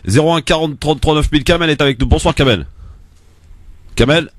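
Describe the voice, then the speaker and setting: A man hosting a live call-in radio show, briskly taking callers and relaying news. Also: on the high side, no echo, clean studio sound. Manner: brisk, takes calls, reads out phone number.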